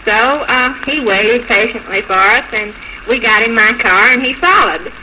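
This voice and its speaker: A woman telling her own story haltingly and politely as a guest in a 1940s radio interview. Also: fairly high pitch, animated, bright, forceful tone. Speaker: bride, show guest. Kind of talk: unscripted personal storytelling in interview. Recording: noisy, crackly 1940s radio recording.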